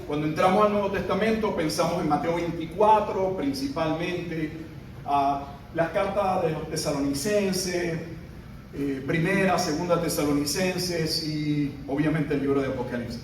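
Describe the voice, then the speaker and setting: A middle-aged man from Puerto Rico, with a Puerto Rican Spanish accent, giving a measured teaching sermon at a conference, with pauses and fillers. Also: on the high side, slight background noise. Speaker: pastor. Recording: echoey room, mic at a distance.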